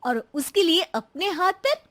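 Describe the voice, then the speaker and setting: A woman's voice: very high voice, very animated, unhurried, a bit bright. A woman reading scripted story narration as the storyteller.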